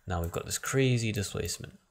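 Low gravelly man teaching software. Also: a little fast, a little hiss or hum. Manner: relaxed, thinking aloud with frequent restarts. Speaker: software tutorial instructor. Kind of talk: screen-recorded software tutorial.

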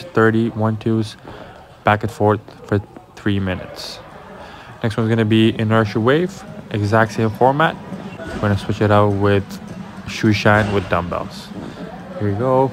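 Lowish man talking through a workout. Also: background noise. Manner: instructive, addresses viewers as guys. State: has a cold, congested. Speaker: amateur boxer and fitness vlogger. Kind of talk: voice-over workout walkthrough.

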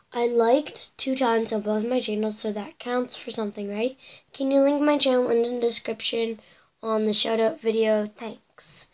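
A teenage girl chatting to her webcam in her bedroom, rambling through viewer comments with whispered asides, her voice a bit cracky from losing it. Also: fairly high pitch. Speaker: YouTuber reading viewer comments. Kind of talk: casual chat to camera. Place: bedroom indoors.

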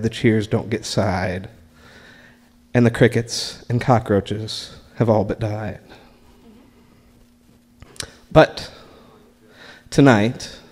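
An American man performs spoken word in a slightly low voice. He takes it very slowly, phrase by measured phrase. The live recording carries a long reverb from the room. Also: a little mellow. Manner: deliberate, measured, pausing between short phrases. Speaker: spoken word performer reading own writing. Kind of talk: live open mic poetry and story reading.

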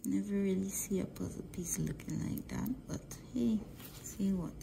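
A woman from Guyana with a mixed Guyanese-Trinidadian accent, talking through a nail art tutorial in a relaxed, halting way with many fillers, often dropping to a near whisper. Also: medium pitch, vocal fry. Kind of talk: casual voice-over tutorial.

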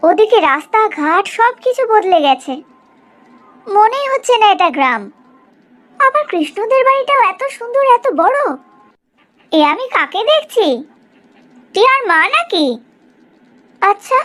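A woman voicing a proud, sharp-tongued middle-aged village mother in a cartoon story, in an exaggerated, gossipy way. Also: very high voice, heavily acted. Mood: astonished, nosy. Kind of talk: Bengali cartoon story dialogue.

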